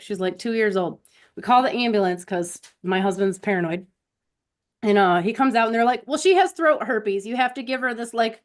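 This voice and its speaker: A woman chatting casually as a co-host, telling rambling anecdotes and acting out bits of quoted conversation. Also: a little high. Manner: rambling storyteller who acts out quoted conversations. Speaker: podcast co-host.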